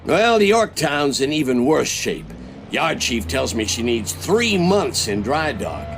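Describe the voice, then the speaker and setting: An actor playing a seasoned older navy admiral giving brisk, authoritative orders in a video game cutscene. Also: male voice, mid-range voice, big pitch swings, a little hiss or hum. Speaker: admiral briefing a captain.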